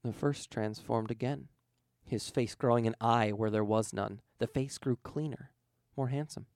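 A man's voice: mid-range voice, measured pace, vocal fry, a little mellow, no echo, studio quality. A man reading a scripted story aloud as an audiobook narrator. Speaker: fan fiction audiobook reader.

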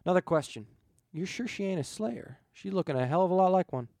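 A villainous former preacher played by a man reader speaks with folksy, rustic phrasing and quiet menace. The voice is creaky and dry, made for an audiobook story. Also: high voice, animated, quick pace, no room sound, pitched above the performer's own voice. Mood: curious.

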